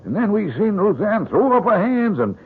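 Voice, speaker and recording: An actor playing a nervous, folksy lumberjack with a rural American accent and a hesitant manner in an old-time radio drama. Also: male voice, high voice, very animated, moderate pace, slightly rough, full character voice. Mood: friendly, folksy. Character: nervous folksy backwoods lumberjack, easily cowed. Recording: tinny, hissy old radio recording.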